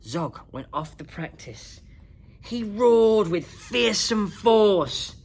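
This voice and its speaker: A woman narrating a children's picture book in an expressive, dramatic, singsong way, like a principal at story time. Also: medium pitch, extremely slow. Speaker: school principal reading to children.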